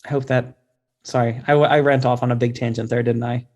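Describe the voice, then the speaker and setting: A man's voice: mid-range voice, flat delivery, a little fast, darkish tone, dull audio. A man speaking as a guest author in a casual interview, informal, self-deprecating and thoughtful.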